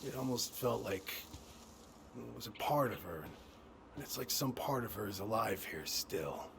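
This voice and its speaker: An actor playing an awkward, kindly older man in a video game, halting and repeating himself. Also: fairly low pitch, animated, creaky, background noise. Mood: wistful, distracted. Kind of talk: naturalistic video game acting.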